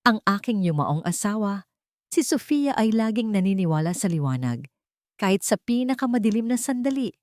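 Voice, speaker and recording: A woman's voice: mid-range voice, very animated, moderate pace, darkish tone, no echo, voice pushed up, lightly acted. Woman narrator as earnest, tender widowed father. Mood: solemn, hopeful. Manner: tender, solemn, heartfelt. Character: devoted, earnest widowed millionaire father, once cold. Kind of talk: audio drama storytelling.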